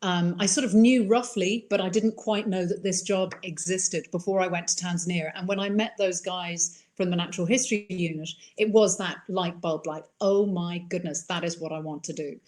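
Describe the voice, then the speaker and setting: Chatty Irish-accented woman, lowish voice. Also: fairly even intonation, moderate pace, hardly any pauses, a little mellow. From England. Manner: enthusiastic, chatty, many fillers. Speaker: wildlife filmmaker guest. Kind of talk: casual interview answers.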